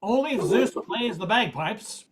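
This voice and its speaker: A man's voice: sits high, expressive, a little slow, a little hiss or hum. An older man playing a brash, sardonic comedy writer in an amateur radio comedy. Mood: mocking, brisk. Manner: sardonic, quippy.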